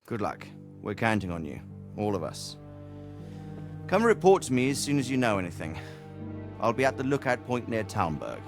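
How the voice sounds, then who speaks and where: An actor playing a commanding lord in a video game cutscene, giving orders in a measured, authoritative way. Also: male voice, fairly low pitch, very animated, faint music behind. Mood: firm. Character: commanding steady male lord.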